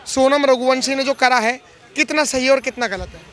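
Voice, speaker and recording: A man's voice: very high voice, normal speed, a bit bright. A man working as a street interviewer, putting emphatic questions on social issues to passers-by and repeating his phrases.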